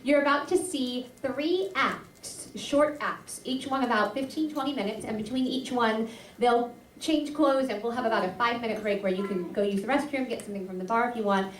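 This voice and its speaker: A woman gives an informal welcome before a student performance. Her voice is somewhat high, heard from a distance in an echoey room with faint noise. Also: fairly quick. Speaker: teacher introducing a student show. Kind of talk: informal welcome speech to an audience. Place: small performance venue, recorded from afar. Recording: roomy, distant, faintly noisy audience recording.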